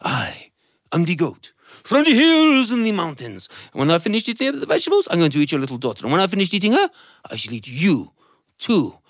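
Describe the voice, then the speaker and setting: A man's voice: high-pitched, huge pitch swings, fairly quick, no echo, clean studio sound, voice pushed up, full character voice. A man voicing a huge, boastful, greedy goat in a story, taunting and repeating his words. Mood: boastful threat. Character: huge bullying boastful goat, menacing and gluttonous.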